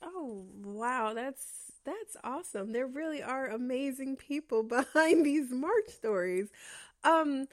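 A woman's voice: high voice, animated, takes it slowly. A woman interviewing a guest in a casual, enthusiastic way, with many fillers and restarts. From lives in Atlanta.

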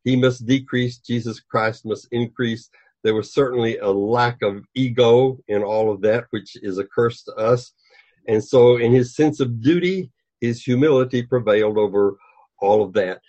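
An older man speaking as a guest on a religious discussion show, earnest and measured. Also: medium pitch, darkish tone. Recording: dull lo-fi sound.

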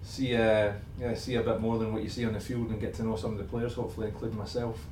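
A young man chats casually in a low, very even voice. The room echoes around him, with faint noise behind. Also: fast. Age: young adult. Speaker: co-host, professional rugby player. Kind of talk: casual chat. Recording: roomy echo with faint background noise.

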